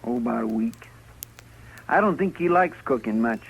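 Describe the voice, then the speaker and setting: An American man with a rural drawl playing a naive, eager marshal's helper in a radio western, folksy and respectful, with a husky voice. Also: medium pitch, animated, moderate pace, poor audio. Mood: curious, obedient. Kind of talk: 1950s radio drama acting.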